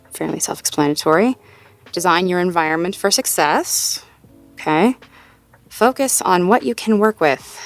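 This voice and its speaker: A woman playing a sweet, supportive girlfriend in an intimate audio roleplay, talking in a gently upbeat, conversational way as she thinks aloud. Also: fairly low pitch, vocal fry. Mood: casual, focused. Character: sweet, supportive girlfriend working through goals with partner.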